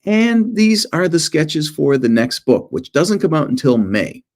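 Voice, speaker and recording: A man speaking informally as a children's author and illustrator presenting to students, with animated storytelling and self-deprecating humor. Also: a little high, measured pace.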